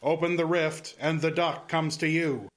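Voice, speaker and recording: A man performs an enigmatic circus ringmaster for an audio drama. He speaks somewhat high, with careful formal phrasing. He is theatrical, courteous and dry. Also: fairly even intonation, measured pace, a bit bright.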